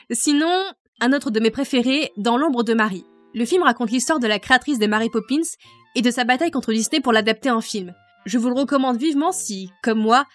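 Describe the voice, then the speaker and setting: Woman, cheerful, enthusiastic, fairly high voice, light music. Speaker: fandub group member. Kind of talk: casual answers to viewer questions.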